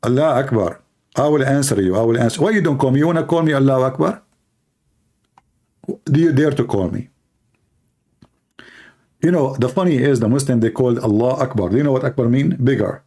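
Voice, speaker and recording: A man who grew up in the Middle East speaks with the accent of a native Arabic speaker. His voice is dark and relaxed, at an ordinary male pitch. He talks off the cuff to live stream viewers in a blunt, direct way. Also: fairly quick, pauses often. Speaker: live stream host, religious apologist. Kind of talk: informal live stream talk.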